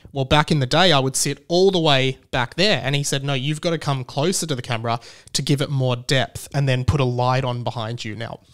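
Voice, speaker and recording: A man's voice: mid-range voice, a little fast, fairly bright tone. A man hosting a podcast solo in a casual, chatty style, rambling and often interrupting his own sentences. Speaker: podcast host.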